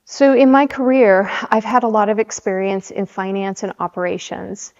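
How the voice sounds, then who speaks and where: Woman in her 40s from the Seattle area, city council candidate, measured and hesitant, muffled sound with a long reverb tail. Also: mid-range voice, fairly even intonation, a little mellow. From Seattle area, USA. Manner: measured, with frequent ums and restarts. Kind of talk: candidate interview answers. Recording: dull audio with long hall reverb.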